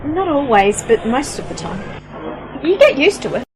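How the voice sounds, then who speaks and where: A woman's voice: very animated, normal speed, darkish tone, faint music behind. A woman playing an inquisitive, practical niece and companion in an amateur sci-fi radio play, matter-of-fact and questioning. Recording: noisy home-made radio play, vehicle-like rumble.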